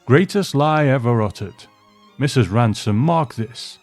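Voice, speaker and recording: A man's voice: mid-range voice, expressive, measured pace, darkish tone, soft background music, pitched above the performer's own voice, natural voice. A man voicing a hearty, opinionated old man who rambles fondly with old-fashioned exclamations, in a storybook reading. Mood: proud, wistful. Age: older. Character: hearty opinionated old man reminiscing about his beloved ship.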